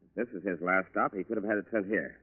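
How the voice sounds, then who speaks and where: Fast-talking low-voiced 1940s federal agent. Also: male voice, slightly rough. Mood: intent. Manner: clipped, businesslike. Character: brisk, determined male federal agent questioning witnesses. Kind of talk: 1940s radio drama acting. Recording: muffled, reverberant old radio broadcast recording.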